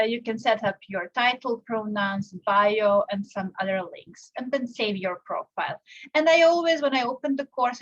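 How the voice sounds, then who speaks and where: A young woman from Serbia giving a live online software tutorial, explaining step by step with frequent fillers and restarts. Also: fairly high pitch, highs cut off. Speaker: teacher presenting a software tutorial. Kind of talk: live tutorial with screen share.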